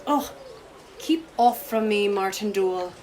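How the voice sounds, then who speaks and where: A woman's voice: mid-range voice, slow pace, darkish tone, close to an everyday voice, poor audio. An actress playing a scornful, teasing young village woman with an Irish accent and mocking delivery in a radio play. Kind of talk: stage radio-play acting.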